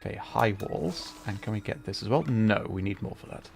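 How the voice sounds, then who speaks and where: A man's voice: lowish voice, lively intonation, a little fast, gravelly. A man chatting casually on a gaming livestream, thinking aloud in a hesitant, rambling way. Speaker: streamer. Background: game music.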